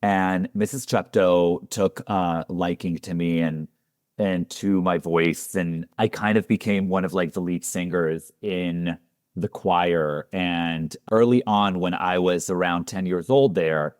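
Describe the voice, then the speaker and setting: Man from israel guesting on a podcast, low voice, animated storyteller, dead dry sound. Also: takes it slowly, no room sound. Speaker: guest hairstylist. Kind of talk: casual interview talk.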